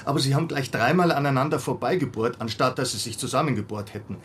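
A man plays a talkative local in a radio drama, earnest and keen to explain. His mid-pitched voice sits slightly away from the microphone. Faint ambient noise surrounds him. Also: fast, fairly bright tone, far from the mic, natural voice. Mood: eager, chatty. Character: talkative, eager local man, easily cowed. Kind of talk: German radio play dialogue.

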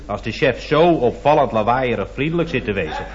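An actor playing an eager, naive employee in an old radio comedy, deferential and tactlessly earnest. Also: male voice, medium pitch, animated, brisk, slight background noise, close to an everyday voice. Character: eager, naive, yes-man male employee. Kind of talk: 1970s Dutch radio comedy acting. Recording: muffled old radio recording.

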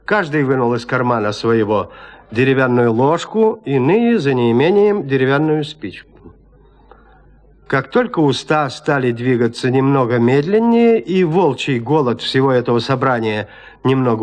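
A man narrating a classic literary tale in an audio drama, measured, with deliberate pauses. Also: mid-range voice, sing-song.

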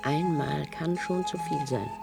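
An actress playing a stolid, contented underground worker's wife in a dystopian 1970s German radio play, dismissive and theatrical. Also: female voice, low-pitched, a little slow, gravelly, loud music behind the voice.

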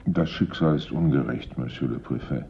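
Casting: dogged radio-play detective, rock-bottom pitch, grave and reasoning; muffled vintage recording with slight noise. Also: male voice, dark tone. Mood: dry deference. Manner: deliberate, earnest, reasoning. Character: dogged male police investigator, grave, brooding, suspicious. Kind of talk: German radio drama acting. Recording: dull old radio recording, noisy rumble.

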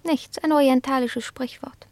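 A woman's voice: high-pitched, fairly even intonation, normal speed, darkish tone, dry recording, close to an everyday voice. A woman playing a haughty, easily affronted house cat in a German radio play, with a disdainful, theatrical delivery. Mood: teasing, haughty.

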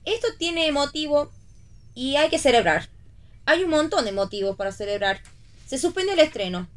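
An actress playing a spirited, sharp-tongued, defiantly cheerful woman who teases directly in a read radio play. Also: sits high, lively intonation, normal speed. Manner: teasing, direct, emphatic. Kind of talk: staged reading of a radio play.

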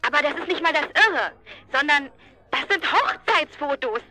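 An excitable, cheeky daughter with a high, piercingly bright voice. Her delivery is lively, breezy and gossipy, full of slang, in radio drama style. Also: moderate pace, no room sound. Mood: excited, amused. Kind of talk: German radio drama acting.

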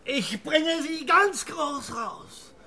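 A boastful cowboy father in a radio drama, bright-toned and lively in pitch. He talks slowly with sweeping, excited lifts. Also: creaky, a little hiss or hum, exaggerated voice. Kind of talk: radio play acting.

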